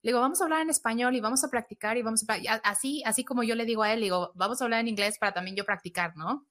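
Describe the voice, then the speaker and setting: Fast-talking mexican woman co-host. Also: a little high, fairly bright tone. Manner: quick, encouraging. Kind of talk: casual interview chat.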